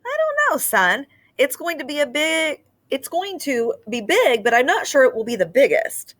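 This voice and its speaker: A woman voicing a gentle father mouse giving advice in a storybook. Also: high voice, very animated, moderate pace, no echo, pitched above the performer's own voice. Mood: advising.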